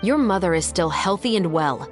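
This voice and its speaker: A woman acting as a dutiful female police captain in a dramatic game scene, pitched fairly low, with music behind. She is formal and firm, a skeptical, restrained interrogator. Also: animated, normal speed, lightly acted.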